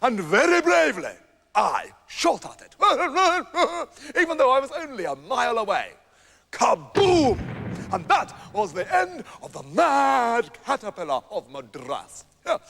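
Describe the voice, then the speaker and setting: A man's voice: very high-pitched, wildly swooping pitch, unhurried, vocal fry, pushed and bright, big-room sound, heavily acted. A man doing a boastful blustering hunter. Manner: blustering, bragging. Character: boastful bumbling male hunter.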